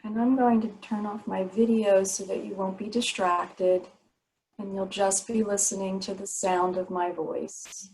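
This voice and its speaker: A woman teaching guided yoga nidra meditation, giving soothing, unhurried instructions with pauses between phrases. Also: mid-range voice, flat delivery, darkish tone, slight background noise, poor audio.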